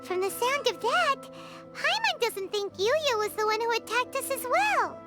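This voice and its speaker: A woman voicing a tiny, perky, curious fairy companion in a video game, with a squeaky childlike voice. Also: sing-song, measured pace, exaggerated voice. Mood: pondering. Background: loud jingly background music.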